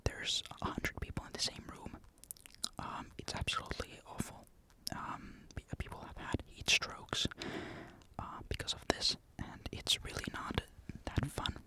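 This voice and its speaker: A man's voice: unhurried, low-quality recording. A young man from Finland, whispering breathily in a casual, rambling ASMR-like monologue despite a sore throat. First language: Finnish. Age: young adult. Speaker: military conscript recounting service.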